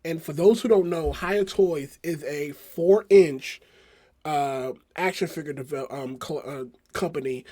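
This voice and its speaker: A man with a hoarse voice from a cold, talking casually through a toy review with lots of fillers. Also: on the high side, warm tone. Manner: rambling, many fillers and restarts. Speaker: toy collector vlogger.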